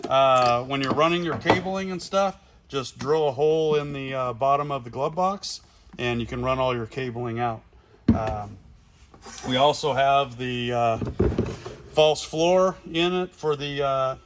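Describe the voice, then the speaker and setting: A man's voice: mid-range voice, noisy background. A man presenting a casual, hands-on installation demonstration in plain, informal language, recorded in a garage workshop. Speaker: product installation presenter for a radio company.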